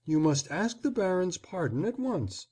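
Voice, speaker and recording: A man's voice: fairly high pitch, very animated, moderate pace, warm tone, pitched above the performer's own voice. A man reading aloud, voicing an anxious, scolding upper-class mother. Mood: pleading. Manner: pleading then stern and commanding.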